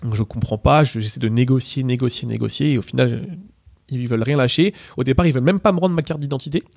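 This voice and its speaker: A man tells a story at a rapid clip, his voice low-toned, gravelly and mellow. He keeps restarting phrases and dropping in fillers. The sound is close and dry, with no room around it. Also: dry recording. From Portugal. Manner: rapid anecdotal telling with many fillers and restarts. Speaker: interviewee, PSG ultra supporter. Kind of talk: casual spoken storytelling.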